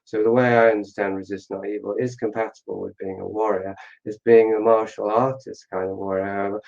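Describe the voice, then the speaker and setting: A man speaking as an interview guest in a reflective, conversational way, deliberate and correcting himself as he weighs his words, on slightly muffled audio. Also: lowish voice, little pitch movement, vocal fry, darkish tone.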